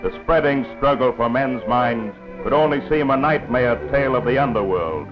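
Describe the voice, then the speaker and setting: A man's voice: medium pitch, lively intonation, normal speed. A man narrating a 1940s wartime newsreel in a grave, oratorical, scripted style. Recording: dull lo-fi 1940s film soundtrack with music.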